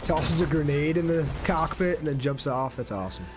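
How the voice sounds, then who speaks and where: A man's voice: a little high, lively intonation, a little fast, over music. A man doing casual live gameplay commentary and chatting with viewers in a laid-back, slangy way. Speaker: game streamer.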